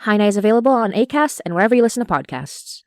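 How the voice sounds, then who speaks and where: A woman's voice: medium pitch, very animated, rapid-fire, a little mellow, no echo, clean studio sound. A woman hosting a podcast, reading scripted announcements in a friendly manner. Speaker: podcast creator and host.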